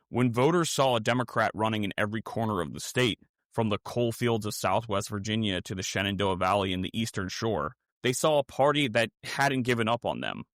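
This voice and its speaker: An American man delivering scripted political commentary as a podcast host, earnest and measured, in short emphatic phrases. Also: lowish voice, fairly bright tone, dry recording, clean studio sound.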